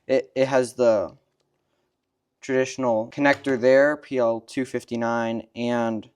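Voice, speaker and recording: A man co-presenting a demonstration, explaining technical details casually in a measured, matter-of-fact manner. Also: mid-range voice, flat delivery, a little mellow, clean tone.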